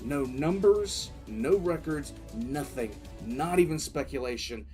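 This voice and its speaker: A man's voice: on the high side, expressive, measured pace, a little mellow. A man playing a tense, frustrated military commissar telling his war story as dramatic first-person narration in an audio drama. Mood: grim, frustrated. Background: background music.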